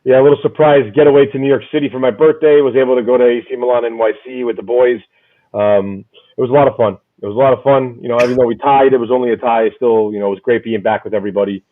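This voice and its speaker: A man's voice: medium pitch, fairly even intonation, quick pace. Man on a tinny phone-like line. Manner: loud, rambling, repeats words. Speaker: podcast host. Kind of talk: casual fan chat.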